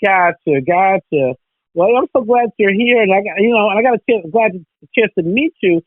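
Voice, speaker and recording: A woman's voice: mid-range voice, lively intonation, moderate pace, no room sound. Chatty woman host on a tinny phone line. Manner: chatty, lots of "you know". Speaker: radio host. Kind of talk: casual radio interview.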